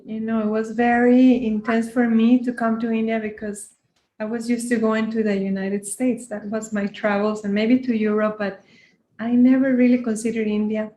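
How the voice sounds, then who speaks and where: A woman's voice: fairly high pitch, fairly even intonation, moderate pace, echoey room, low-quality recording. A woman from Costa Rica, a yoga teacher, speaking earnestly and reflectively as an interview guest. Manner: soft-spoken, earnest, frequent restarts.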